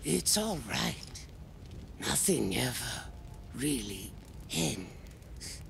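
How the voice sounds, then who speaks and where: An actor playing a frail, weary old alien in a video game, gentle and resigned, speaking halting, cryptic broken English that creaks and drops toward a whisper. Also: medium pitch, sing-song, a little hiss or hum, full character voice. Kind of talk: video game cutscene acting. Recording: music under the voice. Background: music.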